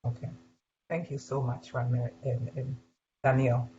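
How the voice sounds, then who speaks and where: Soft-spoken man moderating a video call. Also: mid-range voice, level tone, measured pace, a little hiss or hum. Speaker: panel moderator. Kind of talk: video call panel discussion. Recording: dull lo-fi call audio, off-mic.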